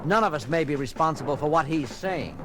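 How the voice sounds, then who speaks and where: A man's voice: a little high, animated, a little fast, noisy background, close to an everyday voice. A man playing an indignant, authoritative starship captain in a science fiction audio drama, with emphatic, exasperated delivery.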